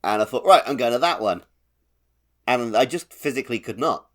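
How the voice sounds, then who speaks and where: A man's voice: medium pitch, animated, moderate pace, a crowd in the background. A man co-hosting a gaming podcast, talking casually in an explanatory, analytical way. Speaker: podcast co-host.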